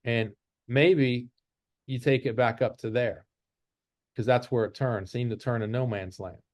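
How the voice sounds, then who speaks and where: A man's voice: mid-range voice, fairly even intonation, unhurried, darkish tone, no room sound. A man giving an informal lesson as an instructor, explaining step by step and repeating his rules. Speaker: trading instructor. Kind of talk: informal chart-based lesson.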